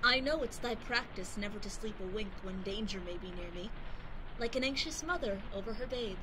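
A woman voicing a boastful, pompous boy adventurer in an old-fashioned radio drama, with archaic, grandiloquent speech that swings from loud to hushed. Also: medium pitch, fairly quick, natural voice. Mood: drowsy. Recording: steady background noise.

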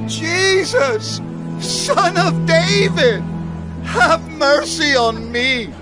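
An actor playing a desperate blind beggar crying out pleadingly in a dramatized audio Bible. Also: male voice, very high-pitched, exaggerated voice. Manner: crying out, drawn-out. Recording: very loud background music.

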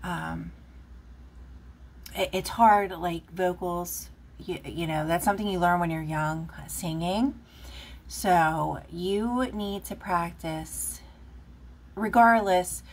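A woman's voice: on the low side, takes it slowly, long pauses. A woman giving a casual, rambling tarot reading, chatting with lots of fillers while recorded off camera at a table indoors. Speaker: tarot reader.

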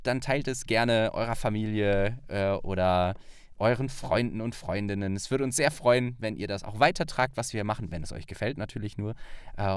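A man's voice: on the low side, clear, resonant tone, dry recording, clean studio sound. A man hosting a podcast, chatting casually with a guest. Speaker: podcast host. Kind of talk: casual interview chat.

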